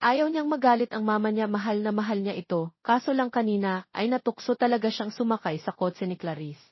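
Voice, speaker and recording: A woman's voice: medium pitch, a little fast, no room sound, dull audio. A woman narrating a romance story from a script, as a storyteller.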